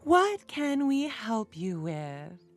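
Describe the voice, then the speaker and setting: A woman narrator voicing a brisk young woman in a story, cool and playfully teasing. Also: a little high, sing-song, faint music behind, pitched above the performer's own voice, heavily acted. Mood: cold, curt.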